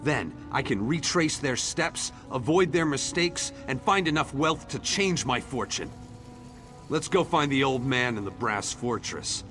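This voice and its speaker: A man as a brash, animated young adventurer. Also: mid-range voice, measured pace. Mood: eager, determined. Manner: eager, self-assured. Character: brash, determined young male treasure seeker, defiant. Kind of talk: AI-voiced fantasy game dialogue. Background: game music.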